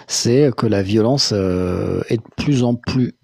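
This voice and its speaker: Dry-sounding talk show host. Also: male voice, fairly low pitch, creaky, mellow, breathy edge, dry recording. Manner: measured, many "euh" fillers, asks short questions. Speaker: live stream host. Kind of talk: live call-in talk show.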